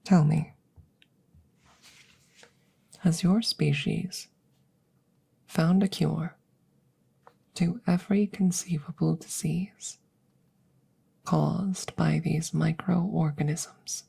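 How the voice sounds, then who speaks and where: A woman voicing a curious, cautious alien scientist in a soft, hushed ASMR roleplay, speaking formally and deliberately and slipping into whispers. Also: low-pitched, steady tone, gravelly, slightly rough, full character voice. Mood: grave concern. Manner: measured, formal, deliberate phrasing.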